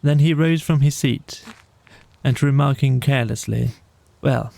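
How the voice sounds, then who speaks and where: A man narrating a bedtime audiobook story from a script, with measured, deliberate phrasing. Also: medium pitch, vocal fry, warm tone, no room sound.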